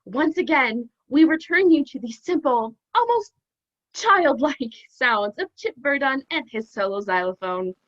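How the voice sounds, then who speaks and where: A woman's voice: high voice, very animated, unhurried, fairly bright tone, highs cut off, full character voice. A young woman playing a wry, showy radio announcer in a spoof of old-time radio, over a video call. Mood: wry, brisk. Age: teens to young adult.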